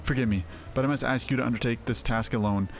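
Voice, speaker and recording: A man's voice: mid-range voice, fast talker, natural voice. Man voicing a resolute young heroine over game music. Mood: resigned, earnest. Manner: earnest, formal archaic speech. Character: passionate, resolute young woman, a champion of her city. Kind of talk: voiced fantasy game dialogue.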